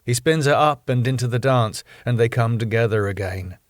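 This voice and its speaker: A man reading scripted stage directions as narrator, in measured, deliberate and dramatic phrases. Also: mid-range voice, dry recording, studio quality. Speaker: narrator reading his own sitcom script.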